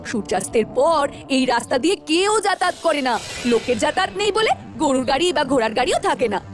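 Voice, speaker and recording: An anxious cartoon village boy, played by a woman, high and fast-talking. The delivery is earnest and cautious, with lively swings over faint music. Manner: earnest, explanatory. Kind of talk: Bengali village cartoon dialogue.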